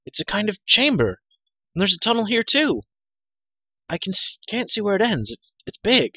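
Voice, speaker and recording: Storybook young pig keeper, male, voiced by a man: hot-headed, exclamatory, wide pitch swings, dry close sound. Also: expressive, fast talker, a bit bright, slightly rough, no room sound. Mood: excited. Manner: exclamatory, indignant.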